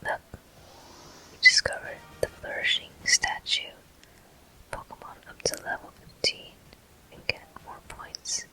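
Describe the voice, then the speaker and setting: Slow, bright ASMR gaming whisper. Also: rough recording. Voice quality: breathy, unvoiced whisper. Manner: gentle, unhurried, soothing. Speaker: gaming host. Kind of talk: whispered ASMR game commentary.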